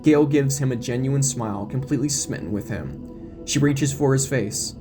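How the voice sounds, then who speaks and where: A man's voice: medium pitch, normal speed. Man narrating a drama over music. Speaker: narrator and reader of a fan audio drama. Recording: music bed behind the voice.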